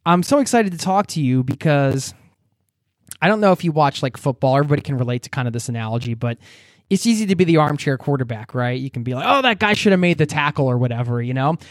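A chatty man hosting a podcast, his voice sitting a little high for a man. He talks loosely with plenty of fillers and easy laughter, recorded dry and close with no room sound. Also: animated, fast, dry recording. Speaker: podcast host. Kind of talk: casual interview chat.

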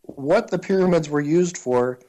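A man co-hosting a political discussion, speaking casually with a wry, halting delivery. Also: on the high side, mellow, poor audio. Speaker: political podcast co-host.

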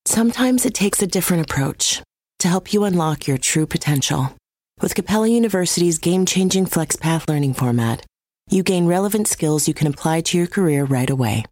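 Female ad announcer, very low voice, dry read. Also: expressive, fairly quick, darkish tone, dry recording. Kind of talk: scripted ad read.

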